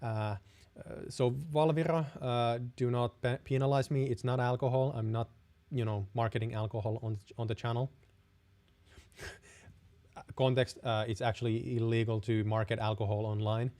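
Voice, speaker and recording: A young man with a Finnish accent answers viewer questions on a live stream. His voice is warm and mellow at a middle pitch. He speaks in a friendly, rambling way and restarts his sentences often. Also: steady tone, normal speed. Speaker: host.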